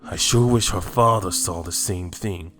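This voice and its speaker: Man acting proud, pushy low-voiced warrior. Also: a little slow, a bit bright, soft background music. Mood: scornful. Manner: challenging, pointed rhetorical questions. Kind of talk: fan-made anime drama acting.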